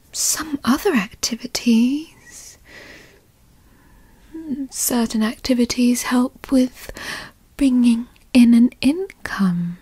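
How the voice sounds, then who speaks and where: A woman voicing a doting, possessive yandere girlfriend in a breathy whispered ASMR roleplay, coaxing and coyly controlling with formal wording. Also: a little high, warm tone, no room sound, exaggerated voice. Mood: doting, soothing. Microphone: close microphone, dry sound.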